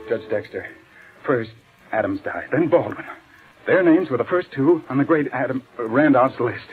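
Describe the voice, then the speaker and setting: An actor playing an anxious, haunted man in an old-time radio mystery, overwrought and halting in delivery. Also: medium pitch, lively intonation, natural voice. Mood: agitated, desperate. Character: anxious, haunted man driven frantic by suspicion. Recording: tinny vintage radio recording.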